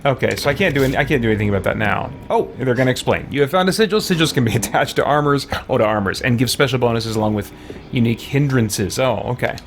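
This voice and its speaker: A man's voice: medium pitch, quick pace, slight background noise. A man chatting through a live game stream, reading aloud and reacting as he plays. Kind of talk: live roleplay gaming commentary with chat shout-outs.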